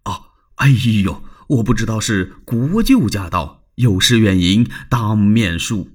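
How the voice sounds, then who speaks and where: A man voicing a cautious, courteous lord in a traditional told story, guarded and evasive, with a husky voice. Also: on the low side, sing-song, slow pace, darkish tone, heavily acted. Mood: polite. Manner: polite, guarded, placating.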